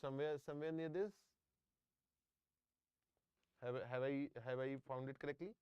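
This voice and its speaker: Smooth-voiced Indian man teaching live. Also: medium pitch, steady tone, fast, dry recording, lo-fi sound. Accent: Indian English. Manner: checks in with students often, repeats phrases. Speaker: engineering exam tutor. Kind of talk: live online lecture. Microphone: close microphone.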